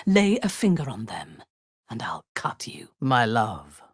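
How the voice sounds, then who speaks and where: A woman voicing a ruthless, haughty warrior zealot for a video game, speaking in an imperious, measured, menacing way. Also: rumbling low, huge pitch swings, husky, no echo, studio quality, heavily acted. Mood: intense. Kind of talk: video game character voice lines.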